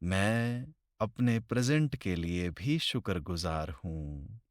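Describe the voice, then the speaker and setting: A man leading a guided meditation in slow, deliberate phrases with long pauses, recorded close to the mic. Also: low voice, animated, dry recording.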